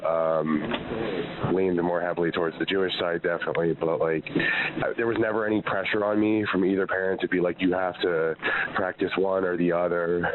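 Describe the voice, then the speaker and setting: A man in his thirties with a nasal, slightly congested voice, talking in a laid-back, halting way in a casual interview. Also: low-pitched, flat delivery. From Connecticut and Colorado, USA. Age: 30s. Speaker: wrestler interviewee. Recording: tinny phone line with crowd noise.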